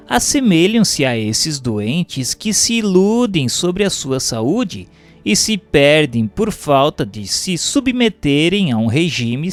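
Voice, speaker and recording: Brazilian guy reading religious text like an audiobook, kinda higher voice, slow and teacherly. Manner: measured, didactic. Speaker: narrator. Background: background music.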